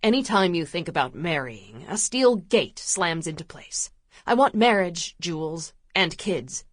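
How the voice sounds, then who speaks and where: Woman lowering her voice for a hurt, stubborn young man. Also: animated, measured pace, no echo, clean studio sound. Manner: low, firm and hushed.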